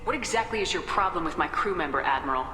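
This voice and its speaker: An actress playing a blunt, businesslike military commander in a video game. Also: female voice, on the low side, lively intonation, fast talker, close to an everyday voice. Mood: firm. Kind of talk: video game character acting. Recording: echoey hall, music behind.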